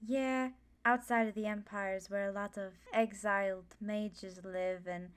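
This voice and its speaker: A woman's voice: medium pitch, steady tone, measured pace, clear, resonant tone, pitched below the performer's own voice, close to an everyday voice. A woman playing a friendly, confident young female student mage, chatty and forthright, in an ASMR roleplay. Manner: chatty, forthright, self-assured. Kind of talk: ASMR roleplay, casual introduction.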